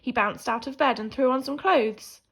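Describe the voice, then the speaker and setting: A woman teacher reading a children's picture book aloud in an animated, theatrical way, swinging between hushed and emphatic delivery. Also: sits high, moderate pace, gravelly, breathy edge. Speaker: primary school teacher reading a story.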